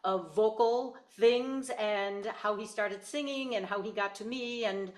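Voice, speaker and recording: A woman's voice: medium pitch, unhurried. A woman hosting a podcast as a voice teacher, introducing and questioning her guest in a chatty, conversational way. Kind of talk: podcast interview.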